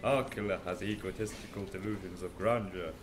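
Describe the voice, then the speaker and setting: A man's voice: low-pitched, fairly even intonation, fairly quick, a crowd in the background, close to an everyday voice. A man playing an arrogant, coolly analytical male detective in an amateur audio drama, speaking in a superior, matter-of-fact way.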